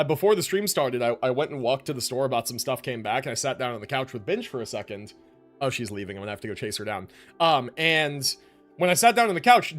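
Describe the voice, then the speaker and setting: A man hosting a livestream, chatting casually with viewers in an informal way and often repeating phrases for emphasis. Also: medium pitch. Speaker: live streamer host.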